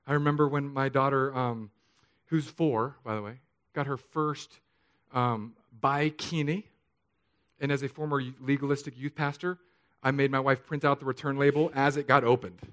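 A man in his thirties from Southern California, preaching a sermon in an earnest, confessional way that swings between soft and emphatic. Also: medium pitch, steady tone, normal speed. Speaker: pastor.

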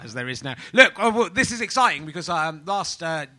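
Joking comedian hosting live. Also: male voice, on the high side, big pitch swings, brisk, pushed and bright. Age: 40s. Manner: jokey, teasing, laughing often. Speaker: podcast host. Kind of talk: live comedy podcast banter. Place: live venue with audience.

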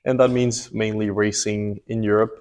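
Young man in his twenties, interview guest, low, flat, calm, matter-of-fact. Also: normal speed. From Ecuador and Miami. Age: 20s. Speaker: guest, race car driver. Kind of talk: interview answers.

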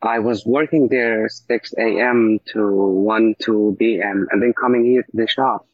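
A man from Syria, a native Arabic speaker, telling his story casually as an interview guest, halting as he searches for words. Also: fairly low pitch, steady tone, no echo. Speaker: coffee shop owner, interview guest. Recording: dull, muffled lo-fi audio.

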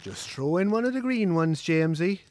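An actor with an Irish accent playing a smug poker ringleader in a radio drama, mock-friendly and needling. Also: male voice, sits high, expressive, moderate pace, darkish tone. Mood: goading. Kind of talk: naturalistic radio drama acting.

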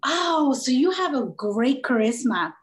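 A woman's voice: sits high, big pitch swings, a little slow, heavy background noise. A woman in her twenties hosting an interview, gushing and enthusiastic toward her guest. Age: 20s. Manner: gushing, enthusiastic, warm-hearted praise. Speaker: interviewer host. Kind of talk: casual interview.

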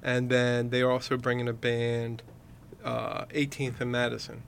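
A man's voice: mid-range voice, completely flat delivery, unhurried, vocal fry, a little hiss or hum. A man hosting a radio show who rambles in casual chat, full of fillers like "you know". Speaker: radio host. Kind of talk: casual radio chat promoting upcoming shows.

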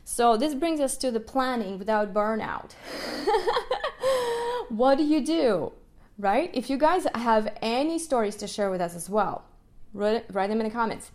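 A young adult woman from Ukraine, a native Russian speaker, hosting a solo podcast as a music educator. She talks in an animated way, often checking in with "right?" and laughing as she goes. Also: on the high side, unhurried.

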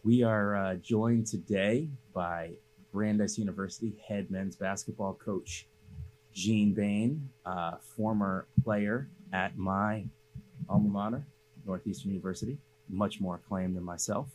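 A man from the Boston area hosts a casual interview podcast. His voice sits low in pitch, and a faint noisy hum runs under the studio recording. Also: unhurried, darkish tone. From Boston area, United States. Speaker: podcast host.

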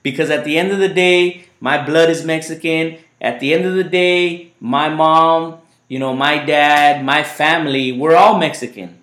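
A man's voice: a little high, fairly even intonation, a little slow, fairly bright tone. A man hosting a casual podcast chat, rambling loosely and repeating his words, whose first language was Spanish. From United States. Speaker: podcast host. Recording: echoey room.

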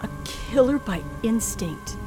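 A woman's voice: on the high side, lively intonation, takes it slowly, music in the background. An actress playing a frightened, tense woman traveler with a dramatic, hesitant delivery in an old radio thriller. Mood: tense narration. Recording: faintly noisy old radio recording.

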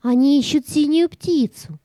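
A woman's voice: high-pitched, moderate pace, mellow, no echo, lightly acted. A woman playing a sly, scheming cat with an insinuating, theatrical manner in a children's audio play. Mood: urgent, scheming. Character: sly, scheming female cat, spiteful and coaxing.

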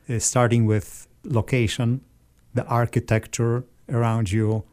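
A man from Poland with a Polish accent, a guest organist calmly explaining things in a radio interview. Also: on the low side, vocal fry.

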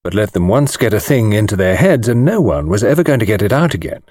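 A man's voice: fairly low pitch, big pitch swings, quick pace, darkish tone, dry recording, clean studio sound. A man with a British accent reading a mystery novel as scripted narration. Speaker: audiobook narrator.

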